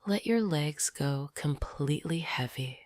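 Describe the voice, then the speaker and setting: Very slow, low-pitched woman, hushed. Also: lively intonation, dry recording, clean studio sound. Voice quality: soft, breathy, often near a whisper. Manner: gentle, soothing, deliberate. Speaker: guided meditation narrator. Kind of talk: slow scripted sleep meditation.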